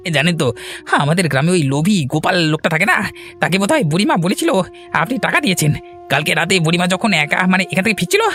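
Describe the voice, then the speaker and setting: A man's voice: high voice, fairly bright tone. A man voicing a greedy, scheming servant in a cartoon, sly and wheedling. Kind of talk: cartoon voice acting.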